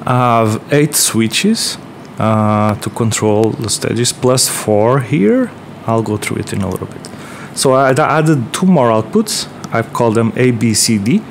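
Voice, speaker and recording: A man's voice: fairly low pitch, a little hiss or hum. A man with a Portuguese accent, casually explaining his workbench build, rambling with many fillers and restarts. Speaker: electronics maker. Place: workbench, indoors.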